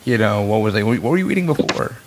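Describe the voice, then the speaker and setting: A man hosting a podcast, raspy and sick with a cold, asking rambling questions full of fillers. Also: lowish voice, expressive, fast. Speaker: podcast host. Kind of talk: casual interview. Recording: noisy recording.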